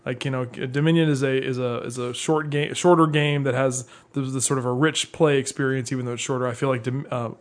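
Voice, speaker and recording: A man with a mid-pitched voice chats casually as co-host of a board game show. He comes across as jovial and conversational, talking as he would with a friend. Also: fairly even intonation, a little fast, hardly any pauses. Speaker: podcast co-host. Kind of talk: casual chat about board games.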